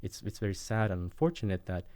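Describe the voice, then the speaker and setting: A man's voice: lowish voice, animated, fast talker, a little mellow. A man speaking as a roundtable guest, earnest and often tagging his points with "right?". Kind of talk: roundtable discussion.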